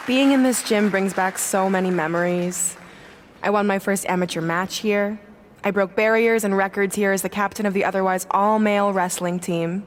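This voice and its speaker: A woman's voice: mid-range voice, steady tone, normal speed, some chatter behind, a little hiss or hum, natural voice. An actress playing a smug, boastful female wrestler in a video game, condescending and mocking. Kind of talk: video game cutscene acting.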